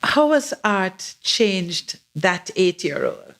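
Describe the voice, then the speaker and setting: A woman's voice: mid-range voice, sing-song, slow pace, fairly bright tone. An older woman hosting an arts podcast, reading a scripted introduction and then interviewing a guest with encouraging questions. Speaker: podcast host, curator.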